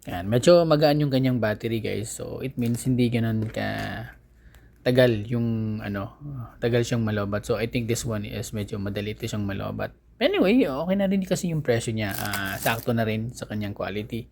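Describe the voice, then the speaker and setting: A man's voice: medium pitch, animated, normal speed, gravelly, darkish tone. A man with a Filipino accent giving a casual unboxing and review, informally explaining things step by step to his viewers. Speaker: vlogger, product reviewer.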